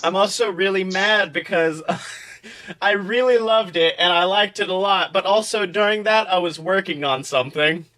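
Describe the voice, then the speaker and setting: A man co-hosting a podcast with casual comedic banter in a playful, excitable, giggly manner. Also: high voice, normal speed. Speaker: podcast co-host and author.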